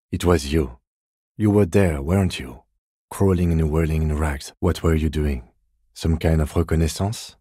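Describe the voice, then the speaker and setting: Calm middle-aged police detective from a video game, super deep smooth voice, measured and restrained. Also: male voice, dry recording, studio quality, full character voice. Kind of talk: video game voice acting, understated dialogue.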